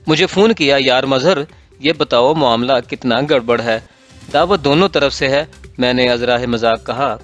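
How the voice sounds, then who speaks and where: A man's voice: mid-range voice, a bit bright. Pakistani man narrating over background music. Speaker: narrator reading a political column. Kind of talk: scripted narration of a newspaper column.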